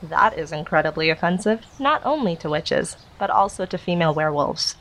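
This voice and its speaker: A woman's voice: fairly low pitch, animated, a little fast, a bit bright. Exasperated young witch, noisy background. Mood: indignant teasing. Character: earnest exasperated young female witch, chatty and bossy. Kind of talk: audio drama dialogue.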